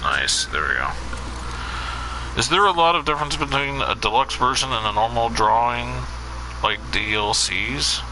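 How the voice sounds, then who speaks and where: A man's voice: mid-range voice, animated, normal speed, noisy background. A man streaming a video game, chatting to viewers in a casual, rambling way and answering their questions with lots of fillers. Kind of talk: casual let's play commentary.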